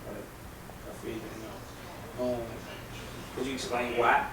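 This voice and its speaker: A man interviewing a director, asking halting questions with fillers. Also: medium pitch, level tone, pushed and bright, distant mic. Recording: roomy, off-mic, noisy.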